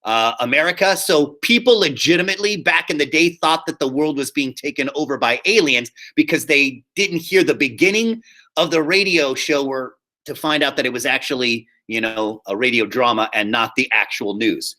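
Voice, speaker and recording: A man teaching a high school theater class online, explaining slides in a conversational, repetitive way. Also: normal speed, pushed and bright. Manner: conversational and explanatory, often repeats himself. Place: live online class over video call.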